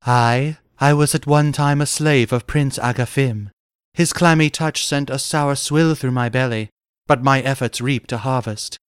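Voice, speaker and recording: A man plays a young noblewoman of pulp fantasy. The voice is soft, smooth and clear, with formal archaic phrasing. The recording is dry and close. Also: medium pitch, a little slow, no room sound, close to an everyday voice. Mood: composed, confiding.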